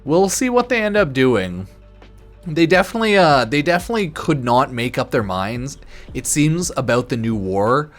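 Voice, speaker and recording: A man streaming casual, rambling commentary on a game, loose and halting in an informal, slangy, slightly tipsy way. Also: medium pitch, big pitch swings. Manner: informal, crude slang and swearing. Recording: music behind the voice. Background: background music.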